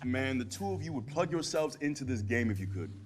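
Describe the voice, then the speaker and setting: A man's voice: medium pitch, lively intonation, a little fast, lightly acted. A man playing a skeptical, exasperated young guy in slangy video game banter. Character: skeptical young male pal, exasperated with his friends. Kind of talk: scripted comic banter in a video game cutscene. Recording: music under the voice.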